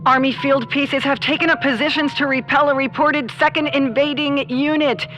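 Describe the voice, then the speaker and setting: A woman playing a formal, measured news announcer in a mock-serious retro radio drama. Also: high voice, fairly even intonation, fairly bright tone, soft background music. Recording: dull, dry lo-fi sound.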